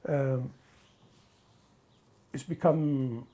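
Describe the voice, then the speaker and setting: A man from the Middle East or North Africa talking casually and reflectively, haltingly searching for words. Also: medium pitch, steady tone, dark tone. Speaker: documentary interviewee.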